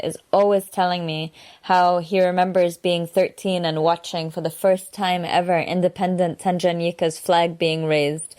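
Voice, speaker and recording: A woman's voice: on the low side, a little mellow, clear, resonant tone. A woman co-hosting a podcast in an upbeat, conversational way. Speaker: podcast co-host. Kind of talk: casual chat.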